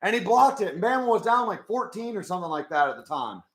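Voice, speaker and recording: Hoarse, chatty man. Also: high voice, sing-song, fast, roomy sound. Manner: chatty, swears, talks to chat. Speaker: live stream host. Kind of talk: casual game watch-along commentary.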